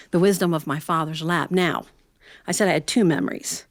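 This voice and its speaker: A middle-aged or older American woman telling a personal family story in a reflective, folksy way, with expressive phrasing and conversational asides. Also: fairly low pitch, no room sound, studio quality.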